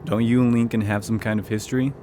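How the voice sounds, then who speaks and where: A man's voice: fairly low pitch, flat delivery, quick pace, dark tone, lightly acted. An actor playing an awkward young man in an audio drama, hesitant and fumbling. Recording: faint background noise.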